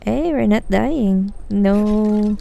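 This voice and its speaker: A woman's voice: mid-range voice, drawn-out words, gravelly, mellow, lo-fi sound. A woman streaming a video game live, giving casual commentary with wry, muttered asides. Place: live stream setup, game sound behind.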